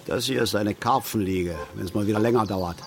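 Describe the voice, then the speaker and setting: An actor playing a middle-aged East German working-class angler, blunt, folksy and grumbling, in a naturalistic radio play. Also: male voice, lowish voice, fairly quick, soft background music, lightly acted. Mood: gruff, sardonic.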